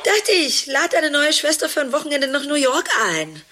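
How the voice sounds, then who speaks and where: A woman's voice: high voice, very animated, normal speed, sharp tone, exaggerated voice. An actress in a radio play, playing a chic, ironic woman married to a dentist, speaking in a wry, drawling way. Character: chic ironic wife of a dentist, half-sister. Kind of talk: radio play monologue and dialogue.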